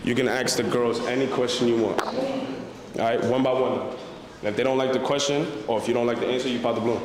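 A young man hosting a casual dating game, prompting contestants in a relaxed, slangy way and often repeating himself. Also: mid-range voice, fast talker. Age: young adult. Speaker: host. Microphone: handheld mic. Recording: echoey studio with loud noise.

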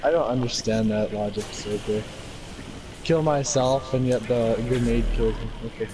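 A man's voice: mid-range voice, fairly even intonation, moderate pace. A man bantering casually and playfully as he plays an online game, often exclaiming. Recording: noisy game voice chat.

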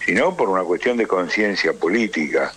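An Argentine man speaking as a political interview guest, emphatic and rhetorical, building points through deliberate repetition. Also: deep voice, very animated, fairly bright tone. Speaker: interview guest, trade union leader.

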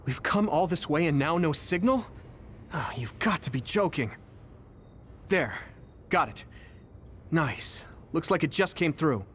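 An actor playing a resolute, earnest young soldier in an anime game, speaking plainly. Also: male voice, fairly high pitch, expressive, a little slow, a little hiss or hum. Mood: exasperated. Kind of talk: English-dubbed anime game acting. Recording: voice over loud music score.

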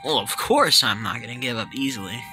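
A boastful young male blue hedgehog from a cartoon, laid-back and sure of himself, played by a woman. The voice sounds bright, and faint music plays behind it. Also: rumbling low, animated, a little fast, voice pushed down, full character voice. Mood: cocky.

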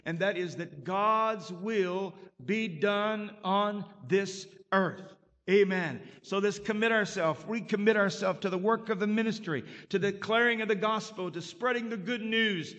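A man's voice: high voice, a bit bright. A man preaching a sermon as pastor, emphatic and punctuated with frequent amens. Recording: long reverb of a church hall.

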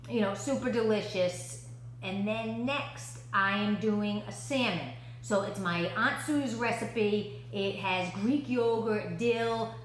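A woman's voice: mid-range voice, a bit bright. A woman, chatting upbeat to camera at home, with many "you know" fillers. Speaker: lifestyle vlogger. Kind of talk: casual chat to camera. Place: living room at home. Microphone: camera at desk distance. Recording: echoey room with faint hum.

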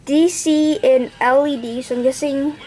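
Rambling high-voiced young Indian woman. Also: fairly even intonation, measured pace, a little mellow. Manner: rambling, thinks aloud, corrects herself. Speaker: gadget reviewer. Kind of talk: casual unboxing vlog. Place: home desk, indoors. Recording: noisy home camera recording.

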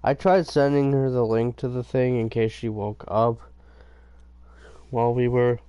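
A young man with a stuffy nose and a raspy voice, chatting loosely and swearing at enemies while he streams a game. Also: medium pitch, fairly even intonation, a little slow, mellow, no room sound, lo-fi sound. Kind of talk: casual gaming commentary.

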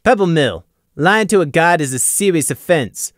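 Stern raspy male guard with fangs, commanding and lively in tone, as a male narrator puts him on. The voice is dry and close. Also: on the high side, normal speed, no room sound, voice pushed up, natural voice.

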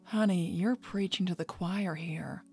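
A woman's voice: lowish voice, a little slow, dark tone, slightly rough, soft background music. A woman playing a flirty, sassy, coy adult bat thief in a fan-made cartoon. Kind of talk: fan-made animated series voice acting.

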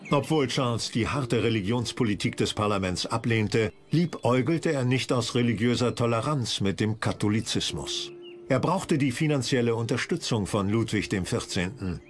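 A man's voice: mid-range voice, animated, normal speed. A man reading scripted narration for a history documentary.

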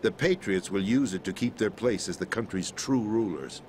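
An actor playing a middle-aged president in a video game, explaining a conspiracy in a measured, grave manner. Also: male voice, fairly low pitch, animated, darkish tone, a little hiss or hum, lightly acted. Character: calm, grave middle-aged man, president briefing via codec. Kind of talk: video game cutscene voice acting.

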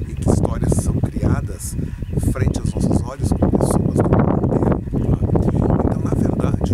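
A middle-aged man giving an informal answer to camera in a conversational way, with frequent check-in tags. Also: medium pitch. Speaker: storytelling consultant answering questions. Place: outdoors in open countryside by a field. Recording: distant mic, loud wind and outdoor noise.

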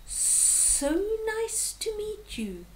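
A woman's voice: very high-pitched, very animated, extremely slow, voice pushed up, exaggerated voice, poor audio. A woman voicing a sly male python in a storybook, with a hissing, whispery voice and oily, mock-polite sympathy. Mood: sly coaxing.

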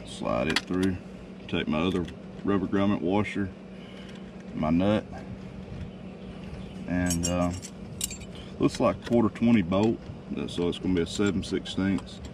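A man, casually explaining a how-to job in a driveway with a relaxed, folksy manner and many fillers. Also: low-pitched, a little slow, pauses often. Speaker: DIY video host. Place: outdoors in a driveway. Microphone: camera mic. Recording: distant outdoor camera mic with noise.

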